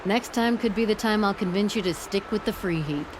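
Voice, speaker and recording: An actress playing a brash, flirtatious, worldly weapons smith in a video game, with a folksy, confident manner. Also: female voice, medium pitch, fairly quick, natural voice. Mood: teasing, confident. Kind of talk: video game cinematic dialogue. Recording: clear ambient noise behind the voice.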